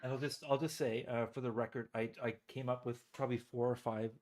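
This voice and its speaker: A man's voice: mid-range voice, flat delivery, a little fast, a little mellow. A man co-hosting a casual live stream, rambling with many fillers and sounding stuffy from a cold. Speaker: co-host.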